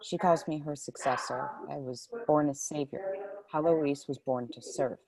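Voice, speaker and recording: A woman's voice: low-pitched, normal speed, a little mellow. A woman playing a guarded, solemn thirteen-year-old girl, terse and matter-of-fact, in a drama script read. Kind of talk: dramatic table read of a web series script. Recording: noisy, distant mic with clicks.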